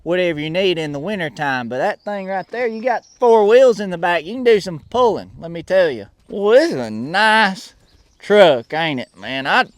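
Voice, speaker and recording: A man putting on a high-pitched, boastful Southern country cowboy. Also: expressive, moderate pace, no echo. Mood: boastful, relaxed. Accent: American Southern. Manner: drawling, folksy, bragging, calls listener son. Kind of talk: comedy skit monologue.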